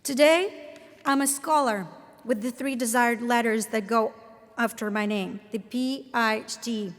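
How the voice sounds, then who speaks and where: A woman in her thirties with a Latvian accent, giving a formal commencement address in a measured, earnest, deliberate way. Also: fairly high pitch. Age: 30s. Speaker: commencement speaker, professor. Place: large ceremony hall. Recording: reverberant hall sound.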